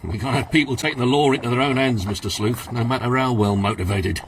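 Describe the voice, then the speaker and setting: An actor playing a stern, pious police inspector who speaks firmly in a radio drama. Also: male voice, mid-range voice, fast, slight background noise, lightly acted, poor audio.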